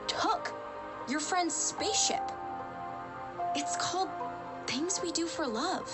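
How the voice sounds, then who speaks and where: A curious, outspoken young stowaway girl acted by a woman speaks high with lively swings, over loud music. She questions and argues, in radio drama style. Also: slow pace, full character voice. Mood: argumentative. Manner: questioning, argumentative.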